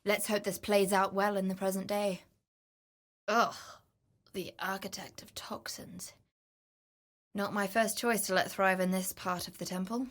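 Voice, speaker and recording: A woman playing a wry, adventurous treasure-seeking explorer in a video game, speaking in a measured, theatrical, knowing way. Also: medium pitch, flat delivery, lightly acted. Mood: dry, musing. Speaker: game companion character. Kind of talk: scripted video game voice lines.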